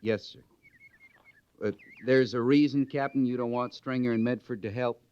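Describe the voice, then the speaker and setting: A man's voice: medium pitch, steady tone, normal speed, darkish tone, clean tone, no room sound, lightly acted. Brief: male actor as colloquial frontier sergeant, mid-range, deferential, dry close sound, dull vintage recording. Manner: plain-spoken, deferential, colloquial. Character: dutiful, folksy, curious male cavalry sergeant. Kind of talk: old-time radio western acting.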